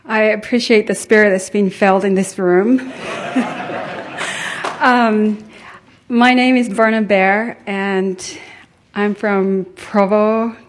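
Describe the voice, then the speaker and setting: Slow-talking middle-aged woman, halting delivery. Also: medium pitch, fairly even intonation, background noise. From Tonga. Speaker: attendee sharing personal story at a conference. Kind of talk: informal personal testimony to a group.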